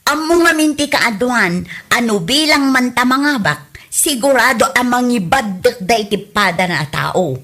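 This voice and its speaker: A woman's voice: on the high side, lively intonation, normal speed, a bit bright. A woman acting a teasing, opinionated gossiping friend in a comedy radio drama.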